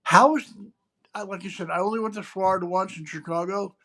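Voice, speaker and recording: A man's voice: high-pitched, brisk, pushed and bright. A man co-hosting a casual livestream chat, eager and talkative, often addressing his partner by name. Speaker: co-host.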